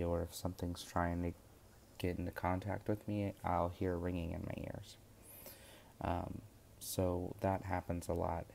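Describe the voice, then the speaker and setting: A man's voice: sits low, creaky, dark tone, a little hiss or hum. A young man talking through his witchcraft course lessons in a casual, rambling way, full of fillers and restarts. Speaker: witchcraft course student vlogger. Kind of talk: casual spoken vlog.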